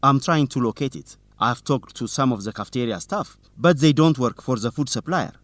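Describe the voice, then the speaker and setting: A man's voice: medium pitch, lively intonation, brisk, a bit bright, dry recording, close to an everyday voice. An actor playing a determined, teasing young male student reporter in an educational radio drama, in plain, simple sentences. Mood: determined, suspicious.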